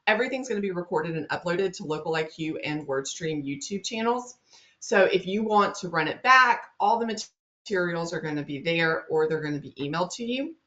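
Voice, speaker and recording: Fast-talking Southern woman hosting a webinar. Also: medium pitch, fairly bright tone. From Louisiana, US. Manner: friendly, chatty, talks fast. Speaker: webinar host. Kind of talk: presenting a marketing webinar. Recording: dull lo-fi web-call audio.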